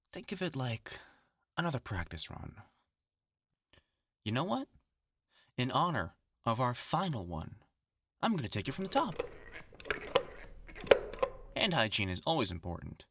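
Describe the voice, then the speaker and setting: A man's voice: fairly low pitch, fairly bright tone, no room sound, studio quality, full character voice. A man playing a vengeful test subject turned mock doctor in a horror audio roleplay, commanding, sardonic and mock-clinical. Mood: sardonic, controlling.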